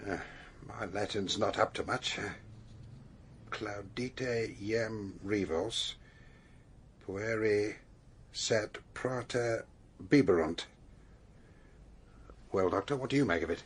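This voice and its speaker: An actor playing a middle-aged police superintendent in a radio drama, brisk, official and mildly impatient. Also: male voice, fairly low pitch, slight background noise. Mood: curious, dry.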